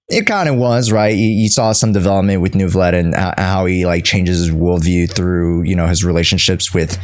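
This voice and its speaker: A man talking off the cuff to his livestream chat, halting and repeating himself as he searches for words. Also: on the low side. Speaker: streamer. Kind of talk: unscripted livestream commentary to chat.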